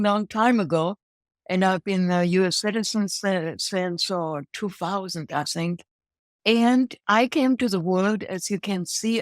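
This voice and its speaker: A woman's voice: medium pitch, no room sound, studio quality. A woman in her sixties from Germany with a German accent, telling her life story as an interview guest, upbeat and laughing often. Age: 60s. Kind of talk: interview chat.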